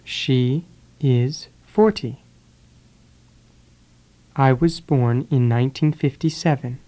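A speaker drilling English phrases for learners, saying each sentence and then repeating it one word at a time with pauses. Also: medium pitch, warm tone. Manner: deliberate, pausing after each word. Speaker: English teacher.

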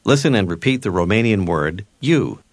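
Male lesson narrator, low and animated, dry booth. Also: normal speed, no room sound. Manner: clear prompting teacher. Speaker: language course narrator. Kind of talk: scripted instruction.

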